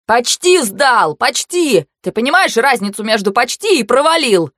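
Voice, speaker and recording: A woman's voice: fairly high pitch, big pitch swings, moderate pace, a bit bright, no echo, clean studio sound, voice pushed up. A woman voicing a defensive, irritable young man in an audiobook, barking emphatically under pressure. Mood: exasperated.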